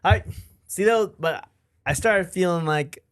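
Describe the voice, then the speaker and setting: A man's voice: high voice, unhurried, fairly bright tone, clear, resonant tone. A man co-hosting a casual podcast, reviewing a film in a rambling, hedging way with lots of fillers and the odd laugh. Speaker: podcast co-host.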